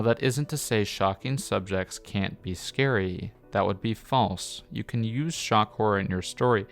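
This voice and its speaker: A man narrating a scripted, opinionated video essay in a blunt, emphatic, critical manner. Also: fairly low pitch. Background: background music.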